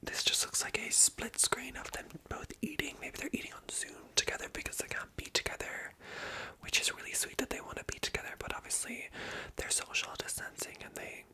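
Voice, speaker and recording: A woman whispering softly as she reads jokes aloud and adds wry, self-mocking asides, stumbling over words now and then. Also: a little fast, rough recording. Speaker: ASMR creator. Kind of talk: whispered ASMR commentary.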